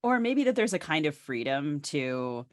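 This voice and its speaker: A woman's voice: deep voice, big pitch swings, measured pace, dry recording. Woman podcast host, close dry sound. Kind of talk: conversational literary interview questions.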